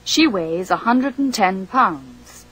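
A woman reading a scripted language course drill, slowly and with careful enunciation. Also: mid-range voice, very animated, fairly bright tone, slightly rough, rough recording. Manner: slow, deliberate, carefully enunciated.

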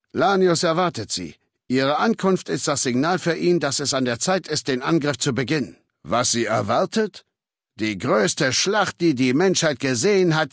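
An actor playing a cold, arrogant warlord dictator in a video game, speaking with condescending, menacing authority. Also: male voice, mid-range voice, dry recording. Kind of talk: video game dialogue acting. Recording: dry studio recording.

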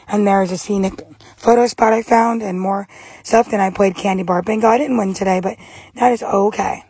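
A young woman doing a casual, plainly told vlog voice-over with a husky voice. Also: mid-range voice, darkish tone, dry recording. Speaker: vlogger. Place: voice-over, partly recorded outside.

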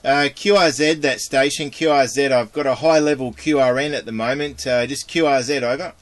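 A man's voice: medium pitch, fairly even intonation, brisk. An Australian man working as an amateur radio operator, speaking in procedural phrases, phonetic call signs and friendly radio chat.

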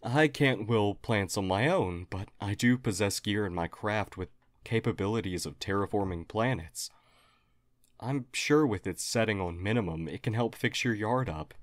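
A man's voice: on the low side, moderate pace, dry recording, close to an everyday voice. A man playing a friendly alien historian, formal, earnest and hesitantly apologetic, in an audio roleplay. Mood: earnest, polite. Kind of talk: audio roleplay acting, addressed to listener.

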